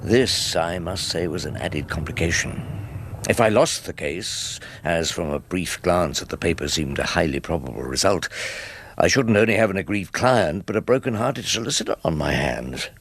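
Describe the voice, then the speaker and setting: Low-voiced, wry old English barrister. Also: male voice, expressive, gravelly, a bit bright, slightly rough, heavily acted. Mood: wry, reflective. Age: older. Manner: dry, wordy, ironic, deliberate. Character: wry, world-weary old male defence barrister. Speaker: defence barrister and narrator. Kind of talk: British radio drama narration and dialogue.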